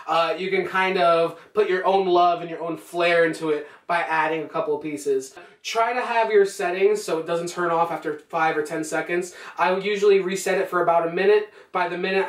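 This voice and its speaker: A young man talks through stage tips in a casual, conversational way. His voice sits notably high for a man. It is recorded in an echoey room, a little away from the camera's microphone. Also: a bit bright, distant mic. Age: young adult. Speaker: poet giving stage performance tips. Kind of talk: casual instructional vlog talk to camera. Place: small bare-walled room indoors.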